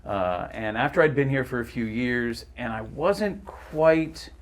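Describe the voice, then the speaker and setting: A man's voice: mid-range voice, expressive, measured pace, a little hiss or hum. A middle-aged man, a voice actor, telling stories in a casual, articulate, emphatic conversational way. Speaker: voice actor guest.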